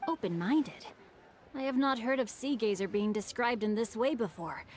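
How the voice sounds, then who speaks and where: A woman's voice: a little high, normal speed, a little mellow, slight background noise, close to an everyday voice. A woman voicing a courteous, bookish young man in a video game, speaking formally and eloquently over music. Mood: thoughtful. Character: refined, bookish young male guild heir, courteous. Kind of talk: video game character acting. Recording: music behind the voice.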